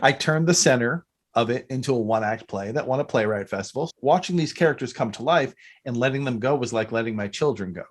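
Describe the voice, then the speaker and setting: A middle-aged man chatting casually as a podcast guest, telling rambling, animated stories. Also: medium pitch, fast, few pauses. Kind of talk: casual interview chat.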